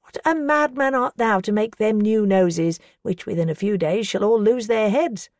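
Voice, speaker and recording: A woman's voice: normal speed, a little mellow, dry recording, clean studio sound, close to an everyday voice. A woman voicing a defiant, pious woman who scolds her accusers with rising passion. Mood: fervent. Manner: impassioned, scolding, rising pitch.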